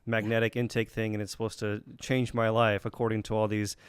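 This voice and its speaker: A man's voice: fairly low pitch, level tone, fairly quick, clear, resonant tone, dry recording. A man hosting a podcast interview, chatting casually and asking long, meandering questions with lots of short affirmations. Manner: conversational, frequent short affirmations, rambling questions. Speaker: podcast host.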